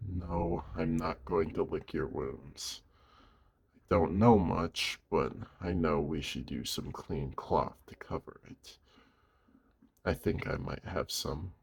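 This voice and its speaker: A man voicing a gruff but caring werewolf of the woods in an audio roleplay, speaking gently and protectively to the listener in a gravelly, husky voice. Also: extremely low pitch, lively intonation, takes it slowly, pauses often, heavily acted. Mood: caring, awkward.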